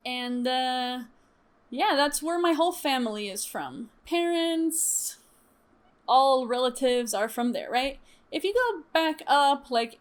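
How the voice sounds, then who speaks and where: A young woman from Chile chatting casually to her stream viewers, lively and laughing often, with many fillers. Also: high-pitched. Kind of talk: casual storytime chat.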